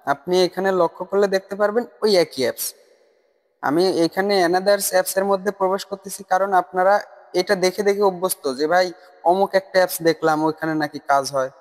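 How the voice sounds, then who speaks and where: High-pitched man in heavy reverb. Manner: insistent, repetitive, addressing viewers as brother. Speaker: tutorial video creator. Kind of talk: casual explanatory voice-over for a screen recording. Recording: long reverb on the voice. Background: voice-over recorded separately from the screen capture.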